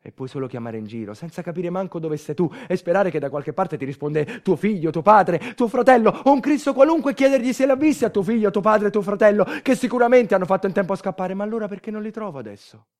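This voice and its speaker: A man performing a theatrical narrative monologue as a storyteller, moving dramatically between quiet asides and urgent delivery. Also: high-pitched, animated, fast, hardly any pauses. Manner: dramatic, swinging from hushed asides to loud urgency. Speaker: solo stage storyteller, actor.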